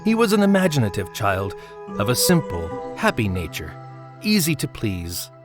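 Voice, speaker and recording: A man narrating a literary tale as a measured, slightly wry storyteller in an audio drama. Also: medium pitch, huge pitch swings. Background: music.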